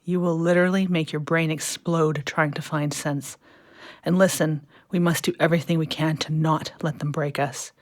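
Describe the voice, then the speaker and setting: A woman playing a defiant, weary teacher held prisoner in an audio play, hushed and urgent. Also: sits low, little pitch movement, normal speed, no echo, clean studio sound, lightly acted. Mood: bitter, defiant. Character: defiant imprisoned female teacher, wary and weary.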